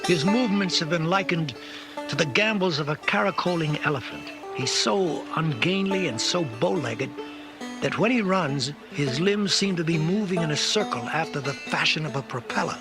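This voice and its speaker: Higher male reader over music. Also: lively intonation, normal speed. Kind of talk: documentary reading of old sportswriting.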